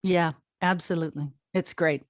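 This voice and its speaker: A middle-aged American woman with a fairly low voice talks as a guest in an interview. She tells things in a casual, emphatic way and often doubles back on her phrases. The sound is dry, with hardly any room. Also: fairly even intonation, normal speed, no echo. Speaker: interview guest, audio drama producer and writer. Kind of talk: casual conversational storytelling in an interview.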